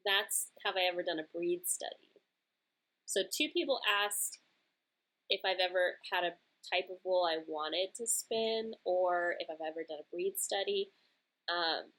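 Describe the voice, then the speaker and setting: A young woman with a lowish voice and a faint Southern lilt chats casually through listener questions. She rambles, laughs and circles back to her points. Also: rough recording. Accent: mild American Southern. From Georgia, USA. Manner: chatty, rambling, laughs easily. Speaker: knitting podcast host. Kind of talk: casual Q&A chat.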